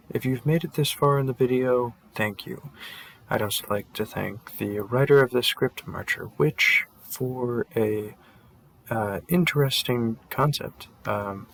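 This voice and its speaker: A man giving a casual outro to listeners as a voice performer, with halting phrasing. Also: medium pitch, vocal fry, fairly bright tone, poor audio.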